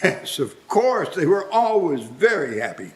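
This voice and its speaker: A man's voice: a little high, very animated, measured pace. A man acting as a wistful old miser in a live radio play, emotional and given to exclaiming. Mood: fond nostalgia. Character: wistful, excitable old male miser softened by memories.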